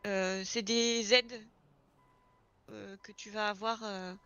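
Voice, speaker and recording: A woman's voice: medium pitch, fairly even intonation, takes it slowly, sharp tone, clean tone, no echo, highs cut off. A woman explaining things step by step in an informal, casual roleplay chat. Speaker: experienced garage employee training a new recruit in online roleplay.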